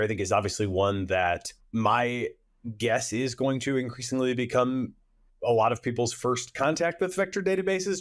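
A man's voice: medium pitch, no echo, studio quality. A man in his thirties, a podcast host asking technical questions in a conversational way. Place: indoors, video call.

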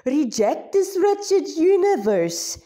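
A woman voicing a solemn, zealous male elephant-god guard in a fantasy story, preaching in a slow, drawn-out way. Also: high voice, sing-song, dark tone, pitched above the performer's own voice, exaggerated voice. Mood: fervent preaching.

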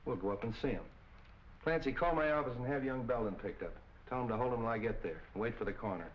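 An actor playing a brisk, businesslike police captain, terse and no-nonsense, in 1940s film style. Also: male voice, medium pitch, lightly acted. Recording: muffled, distant 1940s film soundtrack with hiss.

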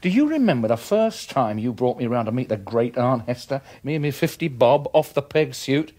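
Blustering, sarcastic English husband. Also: male voice, medium pitch, sing-song, normal speed, darkish tone. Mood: resentful, sarcastic. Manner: blustering, stammering, colloquial. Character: irritable, wheedling working-class husband, sarcastic and resentful. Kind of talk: 1980s British radio drama acting.

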